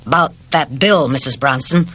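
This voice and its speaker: A woman's voice: very deep voice, moderate pace, a bit bright, slightly rough, pitched below the performer's own voice, exaggerated voice. A woman in her sixties voicing a nervous, apologetic working-class repairman in an audiobook, with colloquial, uneasy speech. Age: 60s. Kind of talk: audiobook character voice.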